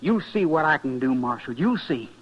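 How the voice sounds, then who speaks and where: A man's voice: medium pitch, animated, moderate pace. A man playing a proud, callow sixteen-year-old would-be gunfighter in an old radio Western. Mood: defiant. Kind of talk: 1950s radio Western acting. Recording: muffled, husky old radio recording.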